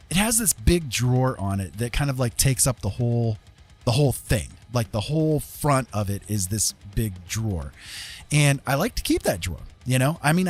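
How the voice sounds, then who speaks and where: A man livestreaming in a casual, rambling way, with a jokey, self-deprecating, colloquial manner. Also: mid-range voice. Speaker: live streamer and digital artist.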